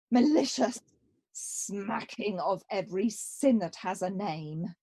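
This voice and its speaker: A grave young prince in exile played by a woman speaks Shakespearean verse in a mid-range voice. The delivery is slow and deliberate, with weighty dramatic pauses, as he accuses himself in a radio play. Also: mid-range voice, a little mellow. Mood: self-accusing, intense.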